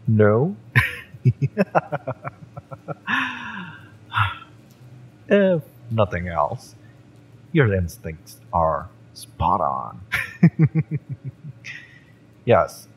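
Male actor for cocky, fiery mercenary in audio roleplay: deep, very slow, taunting and smirking. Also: slight background noise, exaggerated voice, low-quality recording. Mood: teasing, smug. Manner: smirking, taunting, drawn-out delivery with chuckles. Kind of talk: acted audio roleplay monologue.

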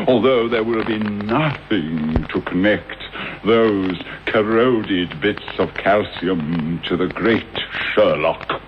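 Low, sardonic British gentleman. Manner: sardonic, measured, formal. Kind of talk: radio play acting. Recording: dull, hissy old radio tape recording.

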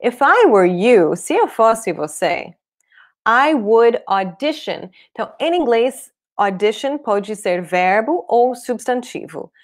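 Woman teaching a language class online, english speaker accent in portuguese, talks slow and explains carefully. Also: on the high side, sing-song. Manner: clear, deliberate explaining with repeated examples. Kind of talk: live online language lesson. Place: small room indoors, at a desk.

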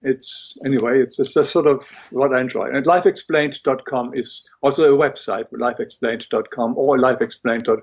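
Older German-accented man, husky voice. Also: mid-range voice, steady tone, moderate pace, hardly any pauses, highs cut off. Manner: hesitant, many fillers and restarts. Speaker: guest, illustrator and writer. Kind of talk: interview conversation.

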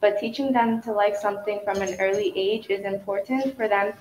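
A woman's voice: mid-range voice, fairly even intonation, brisk, a little mellow. A girl student giving short, hesitant answers in a classroom. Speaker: student guest. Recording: echoey classroom, faint noise.

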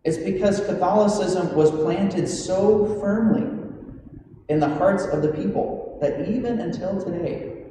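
Priest preaching in a very echoey church. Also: male voice, sits high, unhurried, warm tone. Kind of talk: homily. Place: large stone church, indoors. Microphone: gooseneck pulpit microphone. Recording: very echoey church hall, noisy, distant.

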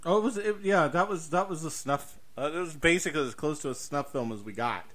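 A man's voice: on the high side, fast, a bit bright. A man co-hosting a podcast, chatting casually about horror films with showy emphasis. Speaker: podcast co-host. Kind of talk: casual horror film chat.

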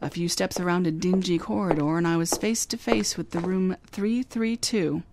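A woman's voice: on the low side, fairly even intonation, normal speed, heavy background noise, lightly acted. A woman playing a wisecracking young female private detective in a radio drama, telling her story in dry, wry first-person hardboiled narration. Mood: narrating. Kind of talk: 2009 re-creation of 1950 radio drama. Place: recorded live, San Francisco.

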